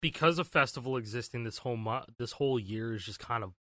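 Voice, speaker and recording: A young man in his late twenties co-hosts a casual podcast, giving blunt opinions and cursing freely. His voice is bright and forward, recorded dry and close to the mic. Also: mid-range voice, quick pace, no room sound. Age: 20s. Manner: swears freely, animated opinions. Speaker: podcast co-host. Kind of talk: casual chat.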